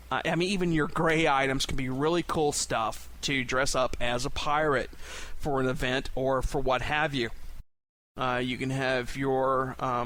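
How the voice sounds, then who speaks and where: A man's voice: a little high, fairly bright tone. A man hosting a podcast in a casual, enthusiastic monologue, chatty and emphatic in the way he talks. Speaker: podcast host.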